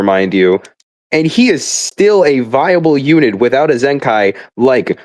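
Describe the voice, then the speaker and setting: A man with a medium-pitched voice rants casually like a fed-up gamer. He is emphatic and profane, often stammering and repeating words as he builds a point. The sound is dry, with very little room on it. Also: dry recording. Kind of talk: casual rant about a mobile video game.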